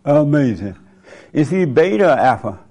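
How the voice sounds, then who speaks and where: A man hosts a crowd in a hall, questioning people from the floor with a teasing, quick manner. His voice has a gravelly, creaky edge, and he talks into a handheld mic. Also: a little high, very animated, warm tone. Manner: teasing, quick back-and-forth with audience members. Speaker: host leading a live gathering. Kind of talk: casual audience Q&A. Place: hall with a live audience.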